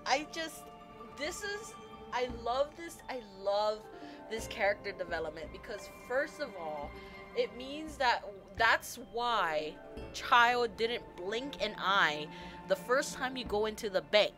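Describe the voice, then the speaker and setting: A young woman streamer gushes and rambles over a game in excited bursts. Her voice sits high and leaps around, and game music plays clearly under her desk mic. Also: slow pace. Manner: gushing fangirl, rambling, squealing. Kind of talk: excited live game commentary.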